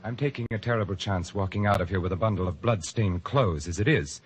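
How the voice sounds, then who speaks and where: An actor playing a cultured, scheming male painter in a 1940s radio drama, with a smooth, purring delivery. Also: deep voice, fairly quick, a little hiss or hum, close to an everyday voice. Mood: cunning. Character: suave sinister male painter, cold and scheming. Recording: old radio broadcast.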